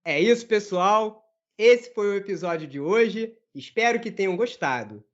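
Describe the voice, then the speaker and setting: A man from Brazil hosts a language podcast, speaking in a friendly, affirming and measured way. His voice sits high for a man. The sound is dull and lo-fi. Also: animated. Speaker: podcast host and Portuguese teacher. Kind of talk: podcast interview hosting.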